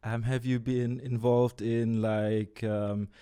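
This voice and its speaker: Man, show host, soft mellow tone, enthusiastic, affirming, casual interview chat. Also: medium pitch, little pitch movement, slow pace, clear, resonant tone.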